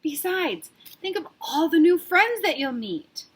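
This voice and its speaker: A woman's voice: very high voice, very animated, measured pace, pitched above the performer's own voice, exaggerated voice. A woman voicing a coaxing adult in a storybook read-aloud, patient at first and then firm. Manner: soothing, then firm and exasperated.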